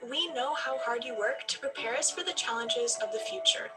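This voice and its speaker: Female voice, scripted advocacy read, earnest, fairly high, music loud underneath. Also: normal speed. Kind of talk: scripted statement in advocacy video.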